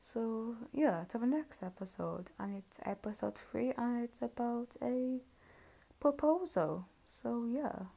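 A woman's voice: fairly high pitch, expressive, slow pace, creaky, warm tone. A woman giving casual, hesitant spoken commentary as she reviews videos she is reacting to.

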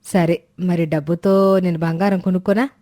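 Homely low-voiced village wife, acted by a woman. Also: steady tone, normal speed, mellow, no room sound, clean studio sound, close to an everyday voice. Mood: agreeable. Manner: homely, colloquial. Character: devoted, plain-spoken village wife and mother-in-law. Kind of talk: animated moral-story dialogue.

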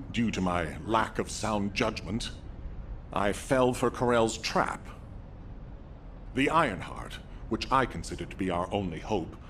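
An actor playing a grave, remorseful but resolute military commander in a video game, speaking solemnly and formally, with deliberate delivery. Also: male voice, fairly low pitch, a little hiss or hum. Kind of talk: scripted video game drama acting.

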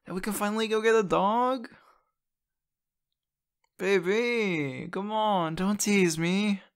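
Man acting as an affectionate, unhurried young boyfriend. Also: high voice. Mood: eager, pleading. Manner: halting, repeats words, breathy laughs and sighs. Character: excited, affectionate young boyfriend, playfully teasing. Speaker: voice actor in a boyfriend roleplay. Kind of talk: ASMR audio roleplay, one-sided intimate conversation.

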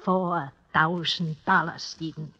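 An actress playing a cold, mocking blackmailing duchess in a 1950s radio drama, speaking in measured, formal phrases. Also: female voice, low-pitched, steady tone, bright, forceful tone, breathy edge, exaggerated voice. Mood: menacing, amused. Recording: muffled 1950s radio recording.

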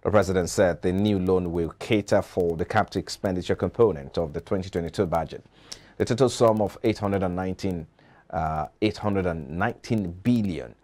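A middle-aged man with a Nigerian accent reads scripted news as an anchor in a formal, measured way. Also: sits low, darkish tone. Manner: formal, measured announcer delivery. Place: TV news studio.

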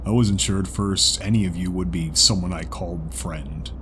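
A man voicing a calm, caring male zebra in an audiobook, speaking in a formal, measured, reassuring way. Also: low-pitched, noisy background, lightly acted. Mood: earnest. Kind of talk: audiobook character dialogue. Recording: music behind the voice.

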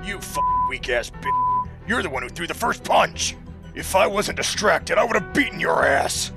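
A man plays a hot-headed demon brawler in a cartoon comic dub. He is high and extremely animated, blustering with whiny indignation over clear music. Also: normal speed, heavily acted. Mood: furious.

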